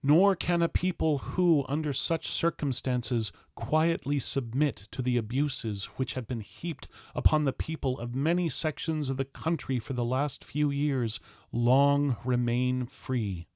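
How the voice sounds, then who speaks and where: A man playing a 19th-century general, delivering a formal political address with loud, emphatic, solemn oratory. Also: mid-range voice, unhurried, no echo, studio quality, lightly acted. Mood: grave warning. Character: impassioned 19th-century general orating to the American people. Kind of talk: declamatory political oratory, formal speech reading.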